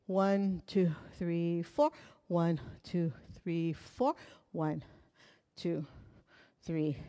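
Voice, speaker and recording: A woman's voice: lowish voice, steady tone, very slow, warm tone. A middle-aged woman teaching hula in a small indoor room, counting beats and half-singing lyrics in a chatty, playful way while she dances. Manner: chatty and playful, jokes and asides. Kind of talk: dance instruction while dancing. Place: small indoor studio room with curtains and wooden floor.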